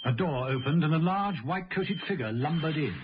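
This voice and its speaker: A man's voice: fairly high pitch, animated, a little fast, very noisy, close to an everyday voice. A man playing the wry, dramatic storyteller of a radio serial, delivering scripted narration. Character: male arch storyteller, wryly addressing the hero. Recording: dull radio recording.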